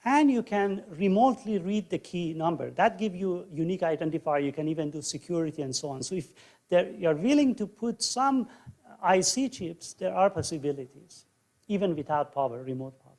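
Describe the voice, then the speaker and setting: A man's voice: sits high, animated, brisk, a little mellow. A man on a panel answering audience questions, restating them and explaining technical answers step by step. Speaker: panelist and session moderator.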